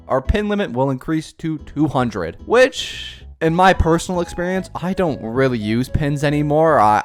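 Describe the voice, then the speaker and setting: A man of middle pitch talks casually on his gaming channel, reading a developer update and joking about himself. His manner is chatty and loose. Faint music plays under his voice. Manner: self-deprecating, chatty, mock-excited cheers.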